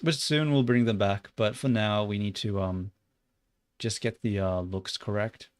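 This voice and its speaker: A young Australian man livestreaming while he works, chatting loosely with lots of "like" and muttering curses at his software. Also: lowish voice, steady tone, a little slow, clear, resonant tone, dry recording, studio quality. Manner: rambling and loose, heavy on "like", muttering and swearing at the software. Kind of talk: casual livestream chat while 3D modeling.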